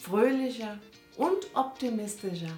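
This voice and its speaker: A woman's voice: a little high, unhurried, darkish tone, reverberant, soft background music. A woman hosting a podcast, introducing and interviewing a guest in a conversational way.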